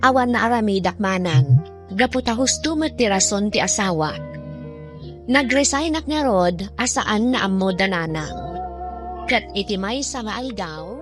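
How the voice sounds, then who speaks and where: A woman's voice: mid-range voice, expressive, a little slow, soft background music, natural voice. A woman playing a weary, dutiful daughter who works abroad, narrating earnestly and plaintively in an Ilocano radio drama. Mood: sorrowful, resigned. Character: self-sacrificing adult daughter working abroad, weary and dutiful.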